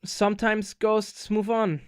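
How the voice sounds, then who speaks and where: A woman's voice: mid-range voice, level tone, slow, smooth, no room sound, studio quality, close to an everyday voice. A woman voicing a cheerful, reassuring older-sister ghost in a fan comic dub. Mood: gently consoling.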